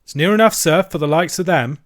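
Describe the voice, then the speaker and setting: A man's voice: fairly high pitch, fairly quick, a bit bright, voice pushed up, close to an everyday voice. A man voicing a wary, stubborn country manservant in a storybook, with blunt folksy speech and dropped aitches. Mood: loud, defiant.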